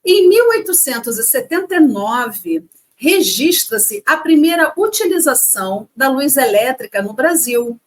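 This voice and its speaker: A woman's voice: sits high, sing-song, a little slow. A woman co-hosting a casual educational chat, reading facts and adding playful asides. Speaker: co-host.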